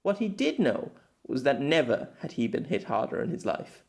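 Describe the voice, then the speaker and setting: A man reading a web novel aloud as narrator, in an expressive amateur audiobook style. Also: mid-range voice, normal speed, creaky.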